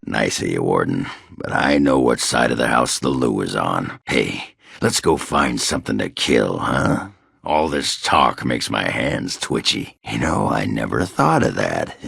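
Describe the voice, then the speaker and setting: An actor playing a gruff, crude, boozy male dwarf warrior in a video game, with a raspy growl and a coarse, blustering, grumbling manner. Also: a bass voice, a bit bright, no room sound, studio quality, exaggerated voice. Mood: gruff boisterous. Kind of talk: video game character dialogue.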